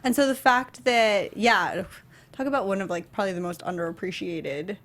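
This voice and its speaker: A woman's voice: mid-range voice, moderate pace, fairly bright tone. A woman co-hosting a casual review chat, informal and agreeable in the way she talks. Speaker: talk show co-host.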